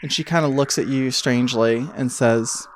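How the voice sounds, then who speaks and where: A man improvising tabletop game narration as game master, telling the story in a halting, conversational way. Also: medium pitch, steady tone, slight background noise.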